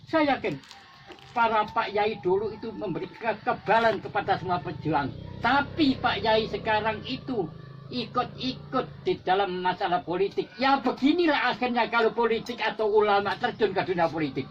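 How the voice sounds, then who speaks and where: A man's voice: sits high, normal speed, a little hiss or hum. An older Javanese man, a war veteran, giving an impassioned patriotic speech with repetitive exhortations that rise to emphatic peaks. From Java. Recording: dull lo-fi recording with faint music.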